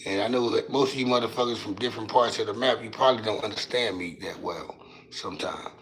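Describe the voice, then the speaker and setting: A raspy-voiced man, hosting a casual livestream and talking loose and profane into a phone mic from his couch at home. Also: on the low side, quick pace, fairly bright tone, slight background noise, poor audio. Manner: loose, profane, drawling street talk. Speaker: livestream host.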